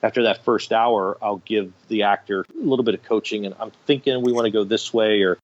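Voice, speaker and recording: A man's voice: lowish voice, steady tone, fairly quick, muffled recording. A man speaking as a radio theatre director in an interview, explaining things conversationally, step by step and with examples.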